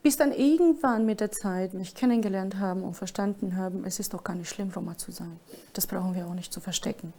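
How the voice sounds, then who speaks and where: A woman's voice: medium pitch, fairly quick, dark tone. A middle-aged woman who works as a school mediator, telling personal anecdotes in an interview. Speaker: school mediator for Roma pupils.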